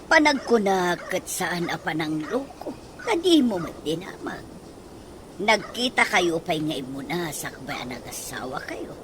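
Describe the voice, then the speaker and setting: A woman's voice: fairly low pitch, sing-song, measured pace, background noise. A woman acting an exasperated but reasoning mother in an Ilocano radio drama, questioning and scolding with an Ilocano accent. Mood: reproving, reasoning.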